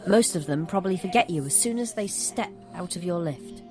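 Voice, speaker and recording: A woman's voice: fairly low pitch, lively intonation, a little mellow, lightly acted. A woman playing a needling, spooky little brother. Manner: taunting, deliberate. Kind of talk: audio drama acting. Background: music.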